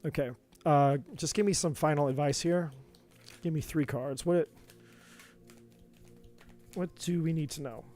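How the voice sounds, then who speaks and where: A man doing a live tarot reading, talking in an earnest, encouraging way with many repeats, his voice going a bit hoarse. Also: on the high side, measured pace, pauses often, warm tone, soft background music. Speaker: tarot reader.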